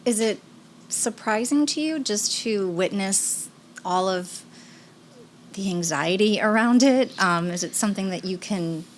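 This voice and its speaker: A woman's voice: mid-range voice, animated, a little slow, a little hiss or hum. A woman hosting an interview, asking questions and answering the guest with short "mm-hmm" acknowledgements. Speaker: podcast host.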